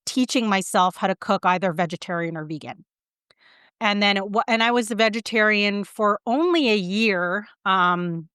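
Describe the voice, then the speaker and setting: Smooth-voiced woman, chatty and animated. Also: medium pitch, no room sound, studio quality. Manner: animated storytelling with many fillers and restarts. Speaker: guest, vegan writer and publicist. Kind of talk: casual interview talk.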